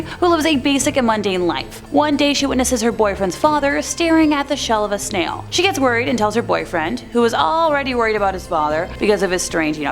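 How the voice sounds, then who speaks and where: Woman, slightly high pitch, countdown host, scripted narration, casual slangy asides, addressing viewers, background music. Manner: chatty, informal, slangy, addresses viewers directly. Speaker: host of a horror countdown video.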